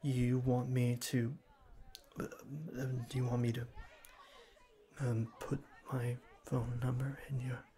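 A man playing a shy, earnest young college student with a cool front, halting and formal, in a scripted ASMR roleplay. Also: medium pitch, completely flat delivery, dark tone, lightly acted. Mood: nervous, formal. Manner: halting, stammering, formal wordy phrasing.

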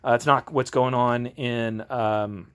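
A man reviewing tabletop games in a casual, off-the-cuff way, halting and often interrupting himself as he rambles along. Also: medium pitch, steady tone, fairly bright tone, smooth. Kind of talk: casual off-the-cuff product walkthrough. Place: home game garage, indoors. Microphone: handheld camera, held by the speaker.

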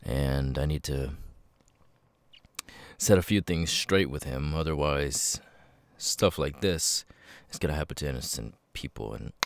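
A man voicing a weary, homeless young ex-convict with tics, halting and stammering in an ASMR audio roleplay. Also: very deep voice, no room sound. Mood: weary, hesitant. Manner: halting, stammering, with abrupt repeated-word tics. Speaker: voice actor. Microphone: close microphone, dry.

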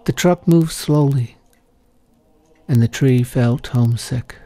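A man reading a short story as scripted narration, in a gentle, measured storytelling manner. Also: medium pitch. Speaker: story reader.

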